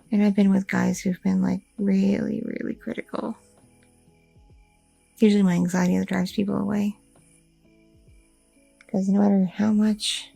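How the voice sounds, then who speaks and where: Young goth girlfriend, played by a woman, anxious and affectionate, breathy voice, close dry mic, faint music behind. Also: medium pitch, dry recording, voice pushed down, low-quality recording. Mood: earnest. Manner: soft, affectionate, a little hesitant, with fillers. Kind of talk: ASMR audio roleplay.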